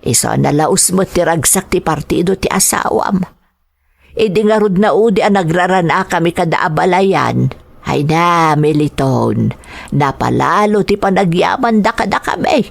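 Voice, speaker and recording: A woman plays a loving older mother in a family radio drama. Her voice sits fairly low, with an Ilocano accent and a gentle, grateful, unhurried manner. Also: expressive, vocal fry. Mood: tender.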